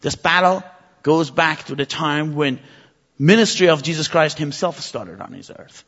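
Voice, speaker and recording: Impassioned high-voiced male preacher, reverberant. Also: animated, normal speed, fairly bright tone. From Iran. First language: Persian. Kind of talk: sermon. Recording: muffled recording with long reverb.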